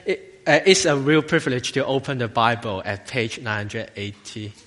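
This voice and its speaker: A man with a Hong Kong accent giving an informal, jokey, halting sermon as a lay minister to a church congregation. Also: medium pitch, fairly bright tone. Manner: halting, jokey, self-deprecating. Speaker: assistant lay minister preaching. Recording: long hall reverb.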